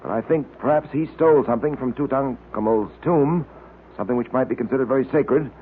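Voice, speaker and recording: Fast-talking, no-nonsense radio detective played by a man. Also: medium pitch, lightly acted. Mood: pondering, businesslike. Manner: clipped, authoritative, no-nonsense. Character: brisk, blunt, level-headed male police detective. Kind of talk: old-time radio drama acting. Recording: tinny old radio recording, light noise.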